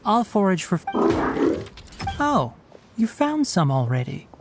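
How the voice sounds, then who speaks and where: A man's voice: high voice, sing-song, measured pace, gravelly, heavily acted. A man playing a youthful, brainy forest ranger in a video game, articulate and dryly practical. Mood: reassuring. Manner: articulate, instructive, dryly matter-of-fact. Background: game music.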